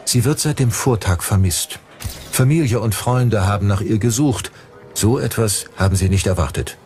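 A man's voice: fairly low pitch, normal speed, a little mellow, lightly acted. A man reading scripted true-crime documentary narration as the narrator. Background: background music.

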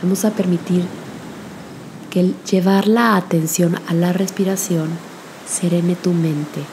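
A woman leading a guided spiritual meditation in a gentle, soothing manner with long pauses. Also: lowish voice, steady tone, slight background noise.

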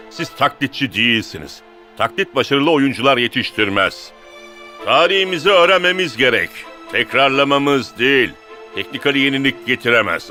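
A man's voice: mid-range voice, sharp tone. A man voicing a stern, wise football coach in a cartoon dub, emphatic and lecturing. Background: music.

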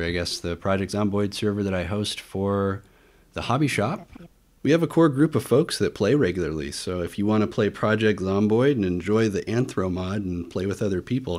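Man, low pitch, casual screen walkthrough, tech hobby host, informal, rambling, thinking aloud. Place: indoor voice-over for a screen recording.

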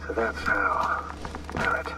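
An actor playing an analytical male mission commander in a space game, speaking formally and deliberately over a radio. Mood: grave. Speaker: astrogator, superior officer. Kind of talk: dramatic game voice acting. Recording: slightly noisy, distant radio link.

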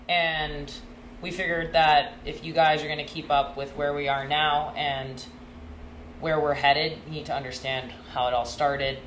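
Chatty woman founder, echoey sunlit room. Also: low voice. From Hong Kong. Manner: chatty, many ums and likes. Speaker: company founder hosting podcast. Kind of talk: casual storytelling. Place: sunny glass-walled room, indoors. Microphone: small desk mic on stand. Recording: echoey room, faint hiss.

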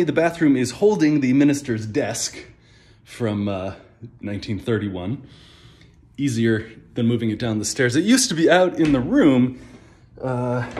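A man in his thirties, a minister, chatting casually as he walks through an old church building, filmed on a phone held at arm's length. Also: medium pitch. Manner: chatty, relaxed tour-guide talk while walking. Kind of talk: casual walking tour. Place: old stone church building, indoors and on a roof.

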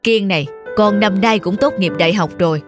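A stern middle-aged father from a cartoon drama, voiced by a woman. He is firm, matter-of-fact and unyielding, with clear music under the voice. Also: medium pitch, fairly quick, natural voice.

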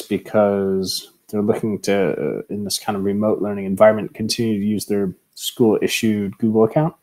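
A middle-aged man giving a casual screen tutorial in a halting, informal way, on an earbud microphone at home. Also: lowish voice, darkish tone. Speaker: tutorial presenter, educator. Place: room at home, indoors. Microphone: earbud headset microphone.

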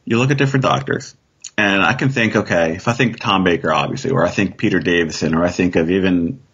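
A man's voice: low-pitched, fast, a bit bright, husky. A man chatting casually as a podcast guest, rambling and thinking aloud as he goes. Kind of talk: casual chat. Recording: dull, muffled lo-fi sound.

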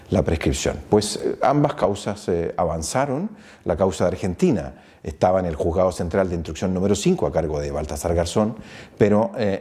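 A man in his fifties from Chile with a Chilean accent, giving a recorded lecture to camera on a lapel mic, measured and full of "eh" pauses. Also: deep voice, warm tone. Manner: measured, many "eh" pauses, gesturing hands. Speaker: foundation director, lecturer. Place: small bright office with blinds, indoors.

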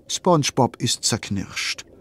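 A man narrating a children's audio drama in a lively scripted storytelling style. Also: medium pitch, slow pace, a little hiss or hum.

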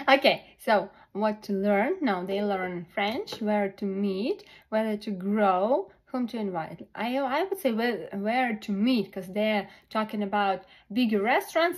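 A woman tutoring test preparation, thinking aloud through questions slowly with many pauses and fillers. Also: medium pitch.

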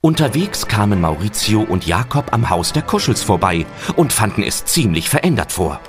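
A man's voice: fairly low pitch, sing-song, moderate pace. A man narrating a fantasy radio play for children in a scripted storytelling style. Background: loud music.

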